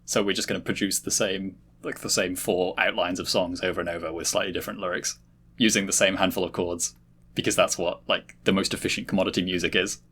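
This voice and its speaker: A man in a casual intellectual discussion, analytical, halting as he searches for words. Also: sits low, steady tone, fairly bright tone, husky.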